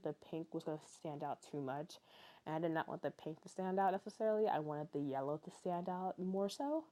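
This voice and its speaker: Low, mellow-voiced woman vlogger, tired and chatty. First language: English. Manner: chatty, rambling, frequent fillers and little laughs. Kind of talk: casual voice-over narration.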